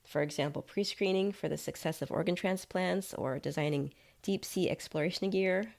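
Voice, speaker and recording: Casual tech inventor in a body-swap audio story, female reader, low and gravelly, explaining matter-of-factly. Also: moderate pace, a little mellow. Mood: nonchalant, reassuring. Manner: nonchalant, chatty, explanatory. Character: nonchalant male app developer inhabiting another's body.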